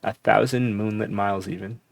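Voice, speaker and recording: Gravelly man streaming a video game. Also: on the low side, fairly even intonation, a little fast, slight background noise. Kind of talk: casual gameplay commentary, reads game text aloud. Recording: faint game music behind. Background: game music.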